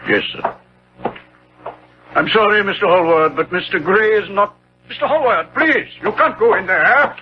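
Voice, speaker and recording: Very husky, deferential manservant. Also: male voice, high-pitched, lively intonation, normal speed, pauses often, faint music behind. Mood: formal. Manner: formal, obsequious. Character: polite, deferential, observant manservant. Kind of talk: 1970s radio drama acting. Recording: dull old radio broadcast.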